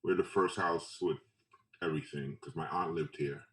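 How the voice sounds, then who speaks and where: Low-voiced man reminiscing in an echoey room. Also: steady tone, normal speed, a bit bright. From Trinidad and Tobago, later Brooklyn. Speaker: photographer being interviewed. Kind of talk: casual interview, reminiscing. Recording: roomy echo, distant mic.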